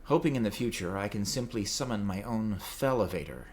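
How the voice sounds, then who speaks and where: A man's voice: fairly low pitch, lively intonation, fairly quick, a little hiss or hum. A man reading a scripted, playful report as a podcast community correspondent. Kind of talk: scripted segment narration.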